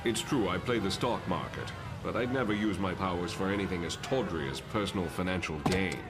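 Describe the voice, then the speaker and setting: A man playing a composed older professor in a motion comic, speaking in a measured, formal, polite manner. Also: deep voice, over loud music. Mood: calm, dignified. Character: composed, courteous older male professor and mentor.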